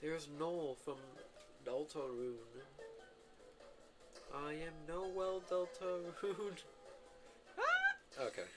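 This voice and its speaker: Animated young-sounding man streaming a game. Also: a little high, very slow, pauses often. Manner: rambling, lots of filler words and self-corrections. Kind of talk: casual live-stream gameplay commentary. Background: game music.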